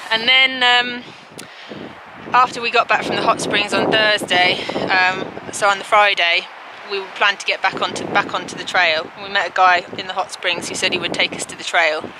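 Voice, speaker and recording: Casting: woman, thirties, casual trail vlogger, informal and rambling, heavy wind noise on a handheld camera. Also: medium pitch. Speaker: hiking vlogger. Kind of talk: casual vlog recap chat. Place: outdoors on a mountain desert trail. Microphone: handheld camera at arm's length. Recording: windy outdoor camera audio, loud noise. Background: loud wind noise.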